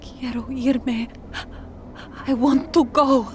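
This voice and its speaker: A woman's voice: high voice, steady tone, slow, background noise. A woman playing a frightened, shivering, distrustful outcast in a fantasy audio drama, speaking in fearful broken phrases. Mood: fragile hope.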